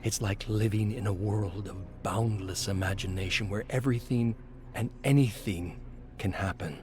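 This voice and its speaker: An actor playing a brooding screenwriter in a game, reading his journal with deliberate, theatrical pensiveness. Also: fairly low pitch, noisy background, close to an everyday voice. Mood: brooding, bewildered. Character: brooding male screenwriter musing over his haunted journal. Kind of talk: scripted dramatic journal narration. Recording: music behind the voice. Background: music.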